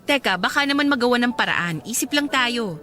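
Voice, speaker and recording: A woman's voice: fairly high pitch, animated, brisk, bright, forceful tone. A woman playing a smug, entitled bride-to-be stepsister in a radio drama, insistent and wheedling. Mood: coaxing. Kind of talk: Tagalog radio drama acting.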